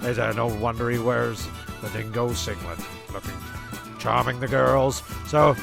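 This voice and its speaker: A very creaky-voiced actor as a male storyteller narrates a comic radio play. He is hesitant and deadpan, bumbling and irritable, with music playing clearly underneath him. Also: medium pitch, a little slow. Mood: exasperated. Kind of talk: rambling, sweary comic narration.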